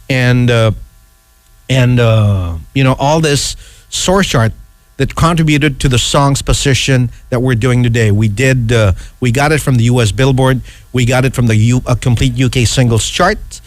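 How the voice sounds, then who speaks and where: A middle-aged man hosting a radio chart countdown in a casual, ad-libbed way, rambling and halting, often checking in with an "okay". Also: mid-range voice, dry recording. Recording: music playing behind close, dry voice. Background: music.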